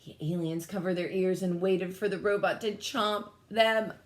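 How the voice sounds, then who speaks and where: A woman reading a storybook aloud to children in a dramatic, emphatic, expressive way. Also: mid-range voice, normal speed, slight background noise. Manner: dramatic, emphatic, engages listeners with questions. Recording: echoey room.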